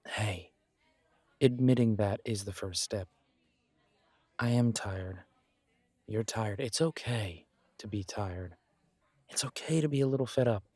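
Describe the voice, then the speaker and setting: A man's voice: fairly low pitch, a little mellow, no echo. A man playing a supportive, self-deprecating best friend in a conversational roleplay audio, talking in a reassuring, reflective way. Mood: empathetic. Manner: reassuring, chatty, reflective pauses. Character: warm, encouraging male best friend, self-deprecating, gently earnest.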